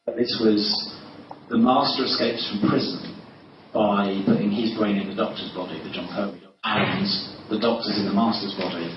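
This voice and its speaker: Echoey, distant man, low-voiced, rambling anecdotes. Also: fairly even intonation, normal speed. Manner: self-deprecating, chuckling, rambling with false starts. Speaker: interviewed writer. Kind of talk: casual panel interview anecdotes. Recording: echoey room, distant mic, muffled, noisy.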